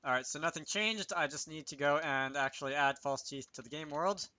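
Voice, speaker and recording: A man walks through code in a casual tutorial, muttering his reasoning aloud and tossing in wry asides. His voice is forward and bright, slightly higher than average. The recording is dry and close, as from a desk microphone. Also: a little fast, no room sound. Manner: self-talking, wry asides. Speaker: video creator demonstrating game programming.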